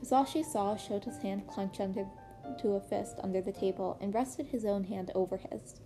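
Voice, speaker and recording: A woman's voice: medium pitch, normal speed, music in the background. A woman reading a story aloud as scripted narration. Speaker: podfic narrator reading fan fiction aloud.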